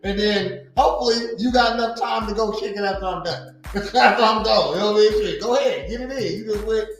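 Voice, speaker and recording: A man's voice: high voice, brisk. A man in his forties co-hosting a podcast in rambling, profane casual chat, laughing often and repeating "you know what I mean". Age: 40s. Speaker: podcast co-host. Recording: very echoey room, faint music.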